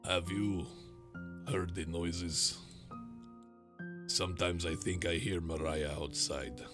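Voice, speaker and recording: A man voicing a weary young man who is troubled by noises at night, with hushed, uneasy delivery. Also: low-pitched, fairly even intonation, takes it slowly, music in the background, voice pushed down.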